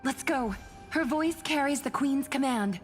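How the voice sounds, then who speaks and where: A fairly high female sea siren, composed and reassuring. She speaks with stately reverence, as in a fantasy game. Long reverb surrounds her, with music underneath. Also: expressive, a little slow. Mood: calm, reverent. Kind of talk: theatrical scripted game voice acting.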